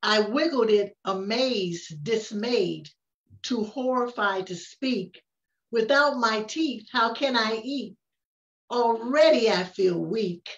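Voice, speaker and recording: An older woman reading a rhyming children's story aloud, with expressive, unhurried delivery and frequent pauses. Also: on the high side. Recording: roomy, distant, dull-sounding microphone.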